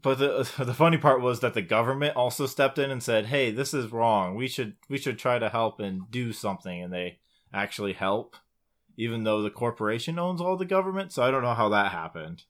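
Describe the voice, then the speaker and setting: A man's voice: mid-range voice, brisk, a bit bright. Male podcast co-host, informal and sweary, casual gaming chat between friends. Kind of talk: casual chat about video games.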